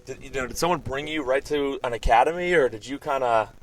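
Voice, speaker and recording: A man hosting a podcast interview, eager and excitable, asking questions with many "you know"s. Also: mid-range voice, fast, slight background noise, low-quality recording. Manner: excitable, eager, lots of fillers. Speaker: podcast host. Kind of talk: casual interview.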